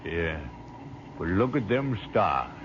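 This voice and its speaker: A thoughtful American soldier in an old radio play, with an American accent and hesitant, folksy phrasing. His low voice is weary and plainspoken, yet moves a lot in pitch. The vintage recording is noisy. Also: big pitch swings, takes it slowly, fairly bright tone, muffled recording, exaggerated voice. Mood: earnest. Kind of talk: old-time radio drama acting.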